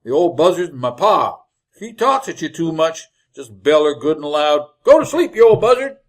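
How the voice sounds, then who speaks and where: An actor playing a greedy, folksy wagon driver in an old-time radio western, talking in blunt rustic cowboy speech. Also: male voice, fairly high pitch, sing-song, normal speed. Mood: folksy, agreeable. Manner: drawling rustic cowboy grammar, blunt, jovially callous.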